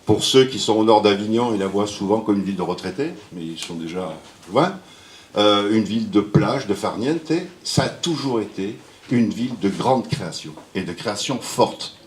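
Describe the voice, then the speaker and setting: A man's voice: fairly low pitch, moderate pace, a little hiss or hum. A man giving a rambling, hesitant speech to an audience at a book launch. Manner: rambling, hesitant, with jokes and praise. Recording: roomy hall with faint ambience.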